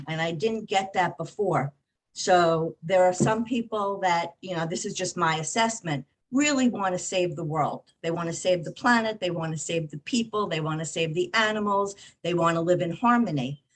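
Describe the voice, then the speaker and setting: A woman in a group discussion, speaking in a reflective, measured way. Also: on the low side. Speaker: discussion participant.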